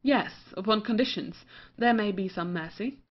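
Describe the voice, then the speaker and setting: Woman playing a cunning saucy mistress in an old comedy, mid pitch, kinda muffled recording. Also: moderate pace, natural voice. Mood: sly. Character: brazen, cunning young mistress called Wanton. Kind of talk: stage comedy in old-fashioned English. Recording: muffled lo-fi audio.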